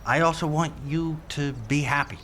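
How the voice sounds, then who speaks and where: Casting: man playing a New York cop negotiator, insistent and sincere, sharp-edged tone, faint noise under the radio play. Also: a little high, fairly even intonation, moderate pace, natural voice. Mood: earnest, insistent. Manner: plain-spoken, pleading, insistent. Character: earnest New York police officer negotiating with jumper. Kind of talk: audio drama acting.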